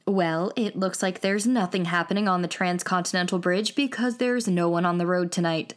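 An actress playing a playful, mischievous watchtower traffic reporter who chats and teases on air in an audio drama. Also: female voice, fairly low pitch, normal speed, close to an everyday voice. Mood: breezy. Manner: teasing, flippant, theatrically wry. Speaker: traffic reporter on a night radio broadcast. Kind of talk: audio drama acting, chatty radio broadcast.

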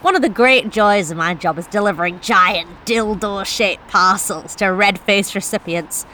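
An actress playing a delivery driver who tells a horror story in the first person, wry and confiding. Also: female voice, mid-range voice, normal speed, pushed and bright, slight background noise, close to an everyday voice. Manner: wry, confiding, self-deprecating. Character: wry, uneasy woman courier.

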